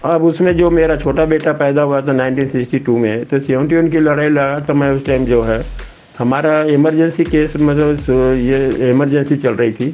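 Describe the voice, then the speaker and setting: A man's voice: medium pitch, steady tone, normal speed, dark tone, soft background music. An elderly man in his eighties, a retired army veteran, telling his memories conversationally in a rambling way, repeating words and acting out remembered dialogue. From Betul, central India. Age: 80s. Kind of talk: conversational personal reminiscence.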